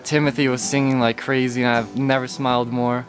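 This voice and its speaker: A man's voice: mid-range voice, little pitch movement, brisk. Man, retreat guest, nervous and giggly, light music under. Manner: nervous, laughing. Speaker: retreat participant.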